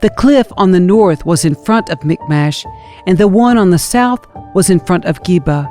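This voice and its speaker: A woman's voice: on the low side, lively intonation, moderate pace, warm tone. Woman narrating scripture over music. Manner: storytelling, dramatizes quoted speech. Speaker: narrator reading scripture aloud.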